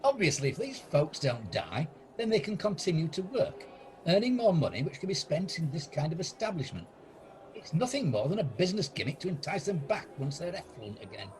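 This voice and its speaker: A man's voice: a little high, sing-song, fairly quick, a little mellow. A man playing a stubborn, grumpy, miserly older man in an amateur radio play, blustering and scornful. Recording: noisy home video-call recording.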